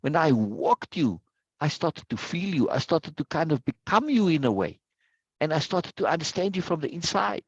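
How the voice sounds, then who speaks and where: A man's voice: fairly high pitch, sing-song, normal speed, husky, dry recording. A middle-aged man with a rural South African accent teaching informally and emphatically, often repeating himself and checking in with short tag questions. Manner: emphatic, repetitive, often checks with "right?" and "yes?". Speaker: teacher of a personal-growth course. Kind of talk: informal unscripted lesson. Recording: dull lo-fi sound.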